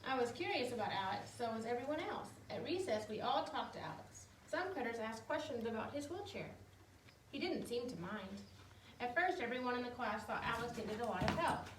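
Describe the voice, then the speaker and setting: Woman reading kids books out loud, high voice, kinda far from the mic, windy and noisy outside. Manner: simple expressive storytelling for young children. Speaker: storyteller reading children's books aloud. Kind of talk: read-aloud of children's picture books with casual asides. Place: outdoors in the wind, with animals. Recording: echoey, distant, noisy windy outdoor recording.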